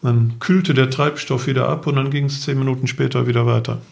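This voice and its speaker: Matter-of-fact middle-aged man with creaky voice. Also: mid-range voice, fairly even intonation, a little fast. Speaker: podcast guest, motorcycle traveller. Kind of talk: casual anecdotal explanation.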